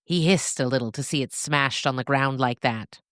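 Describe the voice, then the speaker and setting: A woman's voice: extremely low pitch, normal speed, a bit bright, no room sound, studio quality. A woman narrator delivering scripted fiction.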